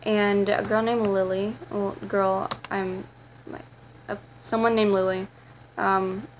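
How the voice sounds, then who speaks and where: A teenage girl with a raspy voice, talking to her camera in a rambling, casual vlog full of fillers and restarts. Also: medium pitch, level tone, takes it slowly, slight background noise, rough recording. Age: teens. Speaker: vlogger.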